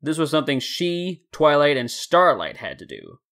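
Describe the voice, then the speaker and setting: A man narrating a scripted story in a deliberate, dramatic storytelling manner. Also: a little high, huge pitch swings, gravelly, a bit bright. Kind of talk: scripted fan fiction narration.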